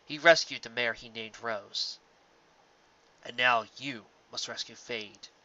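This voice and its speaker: A man voicing a gentle, sad pony father in a storybook reading, speaking in a hushed, tender, earnest way. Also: medium pitch, steady tone, slow pace, dull audio.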